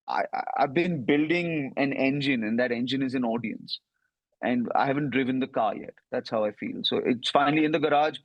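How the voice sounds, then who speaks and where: A man with an Indian accent talks through his answers in an interview, thoughtful and conversational, dropping quick asides as he goes. His voice sits at an ordinary middle pitch. The sound is dry and close, with almost no room behind it. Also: dry recording, highs cut off. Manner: reflective, quick asides with "you know". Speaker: interview guest, comedian.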